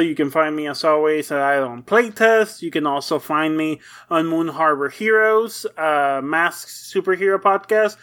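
A man's voice: on the high side, pushed and bright, clean tone. A man speaking as a panelist in a casual podcast discussion.

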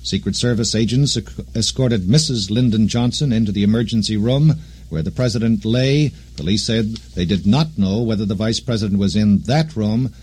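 A man with a fairly low voice anchors a breaking news bulletin. He speaks deliberately and goes back over key phrases. It sounds like a 1960s radio broadcast. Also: a little mellow, husky.

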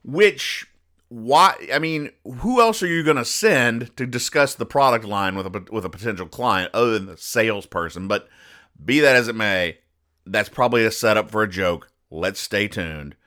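Bright-voiced, mock-serious man explaining comics. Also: on the low side, expressive, moderate pace. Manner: mock-serious, pedantic, digressive. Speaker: podcast host. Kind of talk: conversational comic strip explainer with acted-out dialogue.

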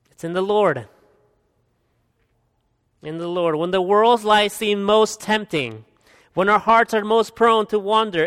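A man in his thirties from Guatemala, preaching an expository sermon as pastor, earnest, swinging from emphatic peaks to quiet asides. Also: sits high, smooth. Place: church hall. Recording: long hall reverb.

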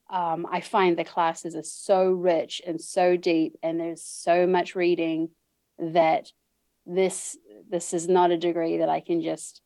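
Kiwi woman, thirties, guest on a video call, low voice, chatty with frequent laughs, dry sound. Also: vocal fry, warm tone, dry recording. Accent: New Zealand. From New Zealand. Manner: chatty, laughs often, restarts and repeats words. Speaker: podcast guest. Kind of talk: casual interview chat.

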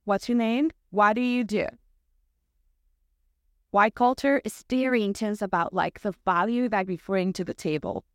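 A woman's voice: mid-range voice, moderate pace, no room sound, clean studio sound. A young woman from El Salvador with a Salvadoran Spanish accent, a native Spanish speaker, gives a conversational personal talk to a church congregation, often saying "like" and "right?". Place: church sanctuary.

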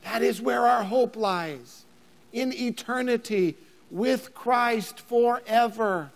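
Pastor, thirties, male; deliberate, rises to emphatic near-shouts, church hall reverb. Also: very high voice. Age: 30s. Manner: builds to emphatic near-shouting, repeats words. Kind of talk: sermon.

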